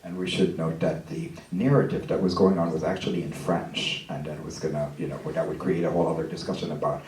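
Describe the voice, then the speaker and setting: A man from Lebanon moderating an audience Q&A with a handheld mic in a hall, soft-spoken and reflective. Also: very deep voice, steady tone, fairly quick, far from the mic. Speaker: interviewer and moderator. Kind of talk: hosting an audience Q&A. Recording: roomy hall taped from afar.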